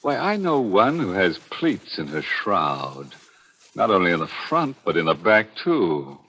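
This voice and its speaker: A man's voice: low-pitched, very animated, unhurried, fairly bright tone, full character voice. A man playing a ghoulish, wisecracking host of a 1940s radio horror show, droll and mock-sinister, drawing out his words. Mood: droll, teasing. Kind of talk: 1940s tongue-in-cheek radio horror hosting. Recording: vintage 1940s radio recording with music.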